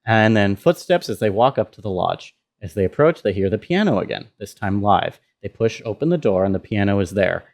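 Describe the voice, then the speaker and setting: Male sound designer, lower-pitched voice, presenting behind the scenes in an informal, thinking-aloud way. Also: big pitch swings, a little fast, hardly any pauses, darkish tone. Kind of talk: casual walkthrough of own work.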